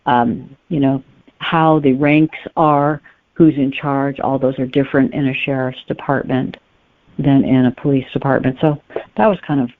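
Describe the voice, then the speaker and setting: A woman's voice: rumbling low, steady tone, normal speed, no echo. A woman speaking as a guest novelist in a conversational interview, explaining carefully and qualifying as they go. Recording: muffled narrow phone-line sound.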